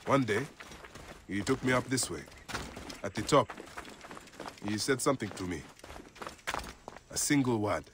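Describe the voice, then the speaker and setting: An actor playing a steady, proud Egyptian warrior father in a dramatic game cutscene. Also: on the low side, measured pace, long pauses, background noise. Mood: fatherly, reassuring. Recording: game music behind the voice.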